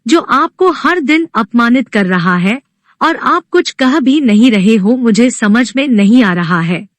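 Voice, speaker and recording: A pious male Brahmin priest from a devotional storybook, voiced by a woman. He is easily scandalized and implores reverently, very slowly. The voice is fairly high and closely recorded. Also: lively intonation, dry recording. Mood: tearful reproach.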